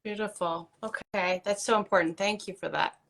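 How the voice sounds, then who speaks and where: A woman's voice: mid-range voice, steady tone, moderate pace, creaky, fairly bright tone. A woman hosting a live online interview, welcoming her guest in an upbeat, friendly way. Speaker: host.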